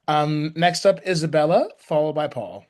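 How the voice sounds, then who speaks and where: A man chairing an online workshop over a video call, calling on speakers in turn in a brisk, courteous way. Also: a little high, darkish tone. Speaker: meeting facilitator.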